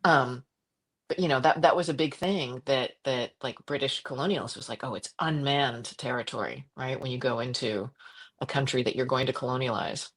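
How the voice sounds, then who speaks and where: A middle-aged woman from Croatia, a journalist guest talking passionately in an interview, with frequent restarts and quick laughs. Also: husky. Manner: animated, impassioned, jumps to high pitch for quoted voices. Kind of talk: conversational interview.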